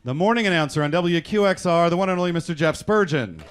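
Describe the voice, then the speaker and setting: A man's voice: on the high side, animated, quick pace. A man speaking as host and director, introducing a radio drama company live on stage. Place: in front of a live studio audience. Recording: live hall with audience noise and clicks. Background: audience crowd noise.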